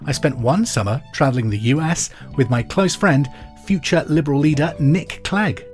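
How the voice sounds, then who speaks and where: A man hosting a pub quiz podcast, reading each question twice in a slow, clear way. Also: a little high, darkish tone, soft background music. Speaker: quiz host.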